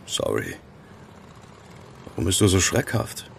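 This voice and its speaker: A man's voice: low voice, huge pitch swings, takes it slowly, a little hiss or hum, exaggerated voice. A man playing a curt, irritable young student hacker in a German audio drama. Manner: terse, gruff, impatient.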